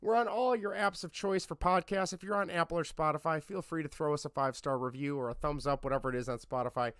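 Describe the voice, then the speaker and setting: Man, podcast host, hoarse from laryngitis, fairly high voice, dry close sound, chatty, self-deprecating. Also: quick pace, a bit bright, dry recording. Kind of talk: casual podcast chat.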